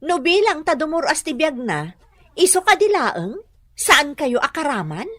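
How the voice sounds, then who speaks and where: A woman's voice: high-pitched, very animated, a little slow, a bit bright, no echo, studio quality, heavily acted. An actress playing an outspoken, insistent woman in a radio drama, with an Ilocano accent. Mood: prying. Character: outspoken, insistent woman arguing with an older mother.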